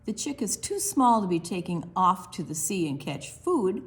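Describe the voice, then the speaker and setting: A woman reading a picture book aloud for preschoolers, expressively and deliberately, with quiet asides to the young listeners. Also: medium pitch, sing-song. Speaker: children's librarian hosting online storytime.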